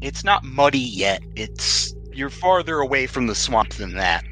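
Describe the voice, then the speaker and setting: A man's voice: mid-range voice, big pitch swings, a little slow, bright, forceful tone, faint music behind, poor audio. A man acting as game master, narrating a tabletop role-playing game in a conversational, explanatory way.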